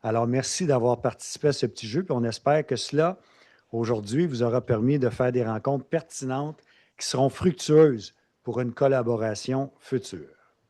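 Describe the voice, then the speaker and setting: Un homme anime une journée scientifique et présente les intervenants sur un ton formel et cérémonieux. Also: male voice, mid-range voice, moderate pace, warm tone. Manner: formal, ceremonious introductions. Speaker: event emcee. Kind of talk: formal event hosting. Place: conference hall.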